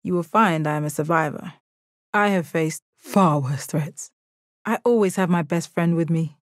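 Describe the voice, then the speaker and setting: Video game role: stoic alien gem warrior woman played by an actress. Lowish register, deadpan, confident, kind, dry close recording. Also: dry recording, lightly acted. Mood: stern, dry. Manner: measured, deadpan, formal phrasing. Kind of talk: video game character voice lines.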